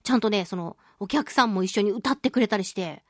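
A woman's voice: medium pitch, fast talker, a bit bright, no echo. A woman chatting casually as a radio host and reading listener mail, playful, giggly and self-teasing, in a close studio recording. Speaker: radio show host and voice actress.

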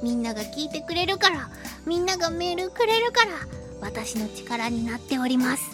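Super high voiced lady radio host, really lively pitch swings, cutesy and playful chat. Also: moderate pace, music in the background. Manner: playful, cutesy, effusive, expressive. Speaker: radio show host, voice actress. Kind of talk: radio talk show hosting, casual chat.

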